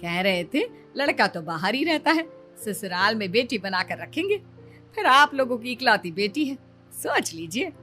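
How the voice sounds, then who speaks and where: A woman's voice: fairly high pitch, big pitch swings, moderate pace, bright, forceful tone, soft background music. A woman voicing a chatty, persuasive neighbour in a story, coaxing and gossipy. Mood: eager, coaxing.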